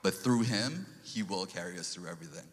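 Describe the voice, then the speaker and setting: A man's voice: sits low, animated, brisk, in a large hall. A man from Sri Lanka giving an earnest church testimony, quoting scripture and stumbling over restarts. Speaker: lay speaker giving a testimony.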